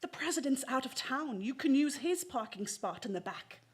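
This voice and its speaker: A woman's voice: high-pitched, animated, moderate pace. A woman playing a prim, worried department store executive in a comic radio drama, stiffly polite and hesitant. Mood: discreet, uneasy.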